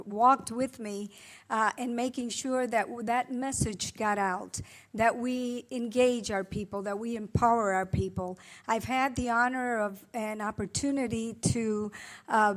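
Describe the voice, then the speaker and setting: A woman in her sixties from Puerto Rico, whose first language is Spanish, accepts an award. Her voice sits a little high, and she speaks earnestly, pausing often with filler sounds as she finds her words. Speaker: award honoree. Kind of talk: acceptance speech.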